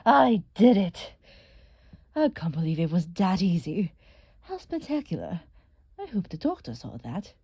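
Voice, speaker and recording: A woman voicing a scheming, fawning male scientist villain in a theatrical, flowery cartoon style, with a breathy, husky voice. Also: on the low side, sing-song, a little slow, pauses often, dark tone, exaggerated voice. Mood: excited.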